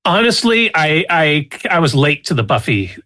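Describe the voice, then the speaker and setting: A man co-hosting a podcast in casual chat, with a hesitant, halting way of talking. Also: a little high, sing-song, sharp tone. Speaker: podcast co-host.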